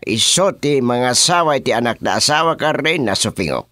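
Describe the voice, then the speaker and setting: An actor playing a boastful king in a comic Ilocano radio drama, declaiming deliberately with an Ilocano accent. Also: medium pitch, animated, pushed and bright, no room sound, clean studio sound. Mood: explaining. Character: boastful fairy-tale king, father of a princess.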